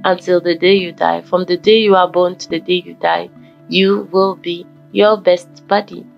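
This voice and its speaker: A woman from Ghana talking casually in a motivational vlog, with a croaky just-woken voice and a rambling manner that repeats and corrects itself. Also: lowish voice, flat delivery, normal speed. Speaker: vlogger. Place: forest fitness trail outdoors. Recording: dull lo-fi audio with faint background music.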